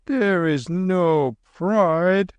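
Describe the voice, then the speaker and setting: A man voicing an old, gentle holy man who speaks in dignified, archaic phrases. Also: high voice, drawn-out words, clear, resonant tone, no room sound, pitched above the performer's own voice. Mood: solemn. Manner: solemn, archaic, dignified speech.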